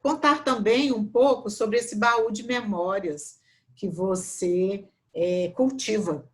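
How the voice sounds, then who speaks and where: A woman hosting a conversation, asking long, measured questions and praising her guest. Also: mid-range voice. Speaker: host. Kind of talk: interview questions.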